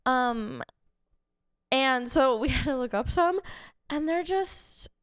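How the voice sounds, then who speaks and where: A woman co-hosting a casual podcast chat, hoarse from laryngitis, chatty with frequent fillers and laughter. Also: sits high, lively intonation, slow pace, dry recording, clean studio sound. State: hoarse, getting over laryngitis. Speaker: podcast co-host.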